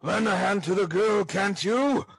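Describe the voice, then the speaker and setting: A man's voice: on the high side, slow, vocal fry, a bit bright, dry recording, pitched above the performer's own voice, full character voice. A man voicing a brutal, ill-tempered housebreaker in a story, growling, barking and impatient. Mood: savage, impatient.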